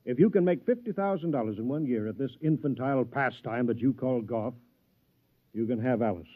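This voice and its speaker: Man playing condescending rich businessman, middle-aged, medium pitch, belittling, very tinny vintage radio sound. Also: close to an everyday voice. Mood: taunting. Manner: condescending, deliberate, needling. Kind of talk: 1950s radio drama acting. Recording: thin, phone-like vintage radio recording.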